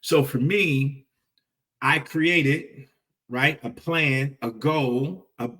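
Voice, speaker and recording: A man in his forties speaks at a middle pitch, hosting a motivational talk. He repeats his key phrases and keeps checking in with rhetorical questions. His voice sounds slightly distant from the microphone. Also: extremely slow, bright, forceful tone, husky. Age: 40s. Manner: repetitive, emphatic, with rhetorical "right?" tags. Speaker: host.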